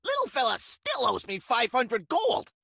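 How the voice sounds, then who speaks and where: A woman's voice: fairly high pitch, huge pitch swings, a little slow, gravelly, fairly bright tone, breathy edge, full character voice. A woman voicing a small, cocky, greedy male creature trader for a video game, with a brash, taunting, theatrical manner. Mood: smug, grumbling. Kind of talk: video game character voice lines.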